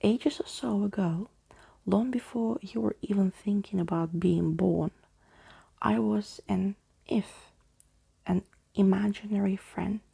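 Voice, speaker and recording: A woman speaking softly and intimately in an ASMR bedtime storytelling style, as if to one listener, with a breathy, husky, creaky voice. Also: mid-range voice, slow. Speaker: ASMR storyteller reading a book aloud. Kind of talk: soft-spoken ASMR bedtime roleplay and reading.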